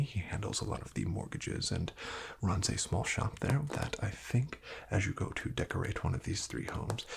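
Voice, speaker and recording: A man speaking in a breathy, often whispered ASMR style, playing a friendly, unhurried real estate agent. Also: low voice, a little hiss or hum. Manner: friendly, unhurried, gently attentive salesman. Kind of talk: soft-spoken, whispered ASMR roleplay.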